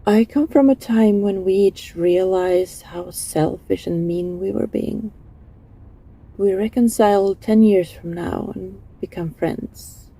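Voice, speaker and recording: A woman playing a lovesick, desperately devoted former bully from the future in an intimate audio roleplay, speaking haltingly and emotionally in a soft, husky voice. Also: medium pitch, exaggerated voice, poor audio. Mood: tender, earnest. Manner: halting, emotional, breathy sighs and stammers.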